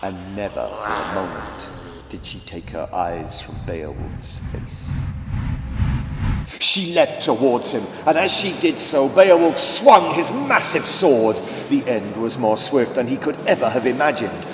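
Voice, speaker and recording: A man narrating a children's audiobook as dramatic, deliberate, theatrical storytelling. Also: mid-range voice, expressive, background noise. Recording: echoey reverb with faint music.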